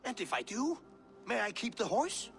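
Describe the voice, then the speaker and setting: A man's voice: very high voice, big pitch swings, normal speed, gravelly, a bit bright, faint music behind, full character voice. A man playing an eccentric, unrepentant artist in a video game, speaking in grandiose, wordy flourishes. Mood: hopeful, cheeky. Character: eccentric, unrepentant male artist pleading his case. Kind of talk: video game cutscene acting.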